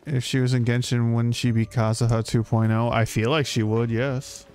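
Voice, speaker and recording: A man's voice: mid-range voice, level tone, moderate pace, darkish tone. A man live-streaming a game, chatting casually, reading aloud and muttering reactions with casual swearing. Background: video game music and sounds.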